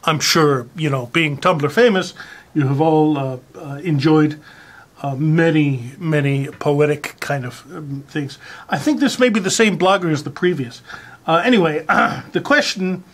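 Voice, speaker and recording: This is a slow-paced presenter with a deadpan, mock-serious delivery. The speech is halting and hesitant, as if each phrase is being weighed while reading aloud to listeners. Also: medium pitch.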